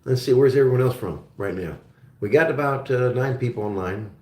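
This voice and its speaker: A man's voice: medium pitch, fairly quick, darkish tone, echoey room. A man hosting a casual livestream, chatting and reading viewer questions aloud as they come in. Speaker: livestream host. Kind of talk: casual live Q&A chat.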